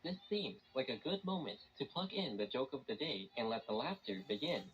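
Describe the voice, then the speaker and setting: A man's voice: medium pitch, very animated, a little fast, lo-fi sound, a little hiss or hum. A man presenting scripted news narration over a shortwave radio broadcast.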